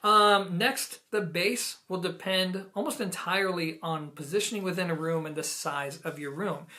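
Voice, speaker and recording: Male reviewer, somewhat high voice, conversational and emphatic, speaking straight to viewers as host. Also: normal speed, bright, forceful tone. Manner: conversational, emphatic opinions, addresses viewers directly. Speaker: audio gear reviewer and host. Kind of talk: video product review, explanatory talk to camera.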